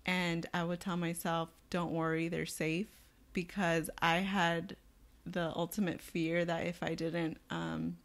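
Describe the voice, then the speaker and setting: Raspy young woman giving earnest advice. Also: on the low side, level tone, unhurried. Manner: chatty, many filler words, earnest. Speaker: co-host of a faith podcast.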